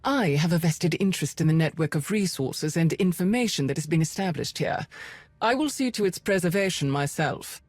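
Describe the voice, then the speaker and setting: An actress playing a cold, commanding spymaster of an evil empire in a video game, formal, measured and quietly threatening. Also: female voice, lowish voice, lively intonation, no echo. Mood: firm, measured. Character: cold, commanding Imperial spymaster, composed and menacing.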